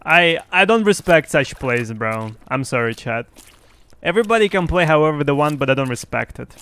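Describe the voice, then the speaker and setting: A young man whose first language is Russian, giving excited, boastful live commentary on his game to his chat through a headset. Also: a little high, moderate pace, clear, resonant tone. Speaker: streamer.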